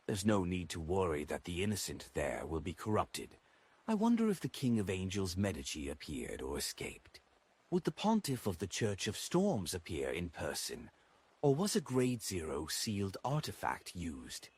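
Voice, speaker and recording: A man's voice: low-pitched, wildly swooping pitch, moderate pace, darkish tone, husky, heavily acted. A man voicing an eager, curious young noblewoman in an audiobook, with a lifted, inquisitive delivery. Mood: intrigued.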